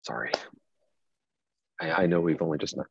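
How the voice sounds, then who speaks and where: A man's voice: rumbling low, moderate pace, vocal fry, slightly rough, dry recording, dull audio, heavily acted. A man acting an awkward, hesitant, well-to-do character in his late thirties at a table reading. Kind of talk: dramatic script reading.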